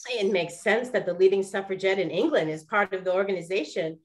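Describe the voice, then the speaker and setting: A woman playing a cheeky young 1920s detective in a period drama, teasing her sister with animated, wisecracking delivery. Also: mid-range voice, brisk, natural voice. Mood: earnest, eager. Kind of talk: scripted period radio drama acting.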